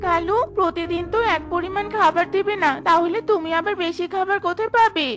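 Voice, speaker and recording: Lady doing a tiny squeaky girl bird for a cartoon, super high, eager, music behind. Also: steady tone, a little fast. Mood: scheming. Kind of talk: children's fairy tale cartoon acting.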